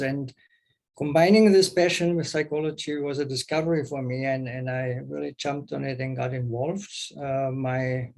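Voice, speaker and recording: A man, a professor, introducing himself in a halting way, often repeating words. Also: a little high, gravelly, a little mellow. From Germany. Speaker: professor, panelist. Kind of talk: self-introduction on a video call.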